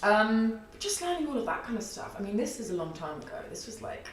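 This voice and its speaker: A woman in her early thirties with a husky, low alto voice, telling a long story in a rambling, chatty way with many fillers in a podcast interview. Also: very animated, fast, distant mic, a little hiss or hum. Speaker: guest, singer and theatre artist. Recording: echoey room, voice off-mic.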